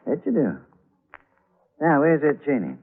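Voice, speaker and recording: A man's voice: on the high side, wildly swooping pitch, a little slow, exaggerated voice. An actor playing a sly, smug aging male blackmailer in a vintage radio thriller, terse and insinuating. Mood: sly, menacing. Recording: tinny old radio recording, music behind.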